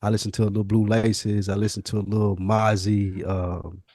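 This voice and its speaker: A man's voice: on the low side, level tone, moderate pace, a little mellow, no room sound, clean studio sound. A man co-hosting a casual podcast, talking in a slangy, conversational way. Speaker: podcast co-host.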